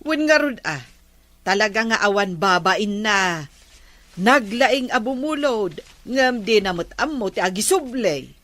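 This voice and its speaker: A woman's voice: medium pitch, animated, a little slow, a bit bright. A woman playing an exasperated village woman in an Ilocano comedy drama, scolding emphatically and refusing to lend her things. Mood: indignant.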